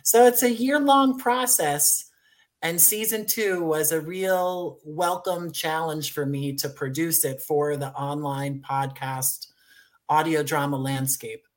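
A man's voice: on the high side, takes it slowly. An American man speaking conversationally as an interview guest, effusive and thankful with playful wording. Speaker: guest, audio drama writer and producer.

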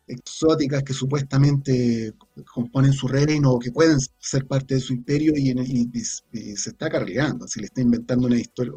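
A man with a Chilean accent answering interview questions as a guest, reflective and halting as he searches for words. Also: medium pitch, mellow, slightly rough. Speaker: guest, audio drama creator.

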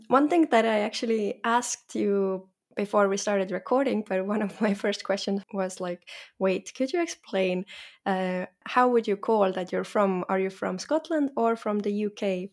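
Creaky-voiced woman interviewer. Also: mid-range voice. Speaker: interviewer, host. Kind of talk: conversational interview.

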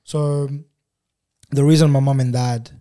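A young man whose first language is Portuguese talks through his family's story in a relaxed, meandering way, full of "like" and restarts. His voice is mellow and dark, sitting at an ordinary pitch. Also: measured pace. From Angola, raised in Portugal. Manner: relaxed, rambling, many fillers and restarts. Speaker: guest photographer. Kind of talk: casual interview chat.

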